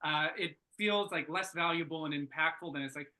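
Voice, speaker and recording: Bright, higher-pitched man thinking aloud. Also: brisk. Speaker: script reader giving feedback at a table read. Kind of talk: casual workshop critique.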